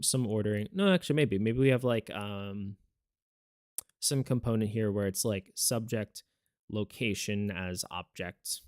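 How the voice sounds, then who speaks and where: A man's voice: fairly low pitch, darkish tone, no room sound, clean studio sound. A man learning a language on camera, repeating words after the audio and puzzling over grammar aloud in a casual way. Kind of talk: casual study vlog, thinking aloud.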